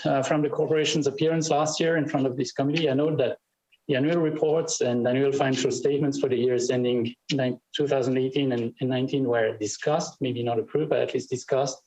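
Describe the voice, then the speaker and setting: A man, a chief executive reading a formal prepared statement to a legislative committee in a measured way. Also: mid-range voice, darkish tone, low-quality recording. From Switzerland. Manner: measured, reading scripted remarks. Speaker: corporate chief executive testifying.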